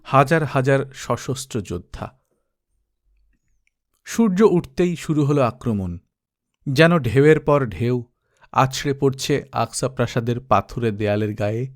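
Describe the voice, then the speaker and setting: A man reading an adventure novel aloud as narrator, steady and dramatic. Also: mid-range voice. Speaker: audiobook narrator. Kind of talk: storybook reading.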